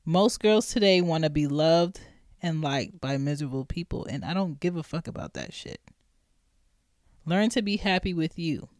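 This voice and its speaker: Blunt, profane woman podcast host in her thirties, low voice, dry close sound. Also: darkish tone, no room sound. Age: 30s. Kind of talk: casual monologue.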